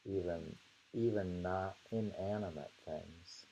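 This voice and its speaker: Man, very low creaky voice, musing, reading verse with informal asides, hissy recording. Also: dark tone, low-quality recording. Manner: musing, repeats lines deliberately. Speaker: reader of haiku poetry. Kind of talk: reading poems aloud with informal commentary. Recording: noticeable hiss and background noise.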